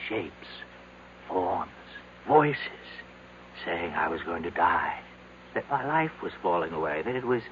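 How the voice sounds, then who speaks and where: Frail, frightened old man, swooping low voice. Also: big pitch swings, full character voice. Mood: dazed, haunted. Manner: halting, pleading, repeating himself. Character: frightened, broken young aide turned seventy-year-old man. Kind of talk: old-time radio drama acting. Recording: muffled, noisy old radio broadcast recording.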